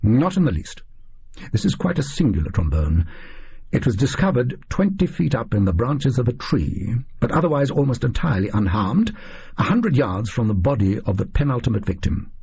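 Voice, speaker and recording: A man voicing a conceited, theatrical Victorian detective in an audiobook, with smug, formal, deliberate diction. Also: medium pitch, very animated, creaky, mellow, husky, muffled recording, full character voice. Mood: self-satisfied.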